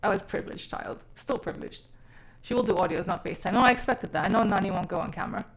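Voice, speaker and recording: A woman's voice: mid-range voice, fast. A woman born in South Africa who grew up mainly overseas, chatting casually and expressively as a live streamer, with informal, sweary phrasing. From born in South Africa, grew up mainly overseas from age six. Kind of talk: casual chat.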